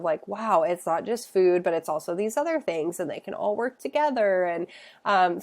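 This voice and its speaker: A woman's voice: on the low side, darkish tone. A woman who works as a functional nutritionist, congested from a cold, explaining health topics in a casual, explanatory way with many filler sounds. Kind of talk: educational talk to camera.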